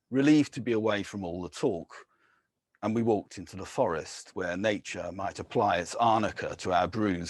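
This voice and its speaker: Man reading his poems, husky low voice, dry close sound, measured. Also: dry recording. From England. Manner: measured, with soft hesitant fillers between poems. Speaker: poet. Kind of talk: poetry reading.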